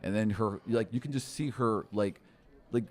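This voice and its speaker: A man's voice: lowish voice, moderate pace, a little mellow. A man in his thirties or forties narrating a tabletop game as game master in a casual, descriptive way, close on a podcast mic. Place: small indoor studio room. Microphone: close podcast microphone.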